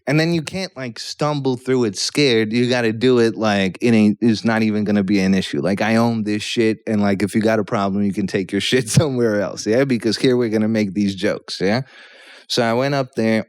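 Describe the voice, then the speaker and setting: A man's voice: lowish voice, steady tone, moderate pace, a little mellow, clean tone, no room sound, clean studio sound. A Polish-born man in his thirties, a guest comedian, telling a rambling story on a podcast and checking in with "yeah?" as he goes. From Poland.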